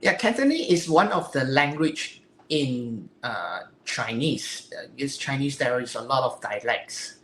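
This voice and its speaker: A woman from Singapore with a Singaporean English accent, answering interview questions earnestly and haltingly, searching for her words. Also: rumbling low, animated, a bit bright. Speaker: interview guest, physique competitor. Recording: echoey room, faint ambient noise.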